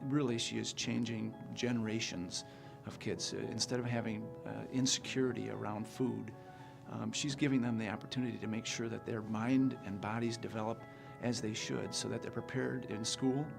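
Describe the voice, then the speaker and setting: A man's voice: fairly low pitch, steady tone, normal speed, gravelly, over music. A man speaking admiringly and casually in a tribute video interview, with fillers.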